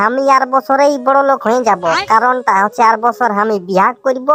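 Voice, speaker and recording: An actor voicing a penniless, boastful village bachelor in a comedy cartoon, grumbling with comic exaggeration in a rural Purulia Bengali accent. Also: male voice, very high voice, a little fast, fairly bright tone. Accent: rural Purulia, West Bengal. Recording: music behind the voice.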